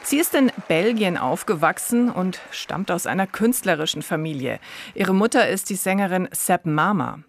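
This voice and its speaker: A woman radio presenter reading scripted narration and asking interview questions in a measured, clear manner. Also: on the low side, animated.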